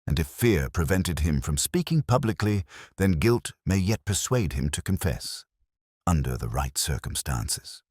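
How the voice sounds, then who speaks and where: A man reading the part of a calm, incisive Victorian gentleman detective in a story, speaking deliberately and precisely. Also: low-pitched, husky, dry recording, clean studio sound, exaggerated voice. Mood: deliberate, certain.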